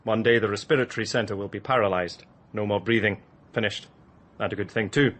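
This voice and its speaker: An actor playing a callous doctor in a radio drama, clinical and condescending. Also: male voice, fairly low pitch, brisk, fairly bright tone. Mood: detached.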